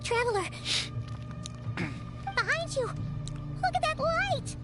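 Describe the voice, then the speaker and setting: A woman voicing a tiny, fretful, blunt fairy companion in a video game, squeaky and exclamatory. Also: a little slow, over music, exaggerated voice. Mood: alarmed. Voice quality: very high, squeaky, childlike. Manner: exclamatory, animated. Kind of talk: animated video game voice acting.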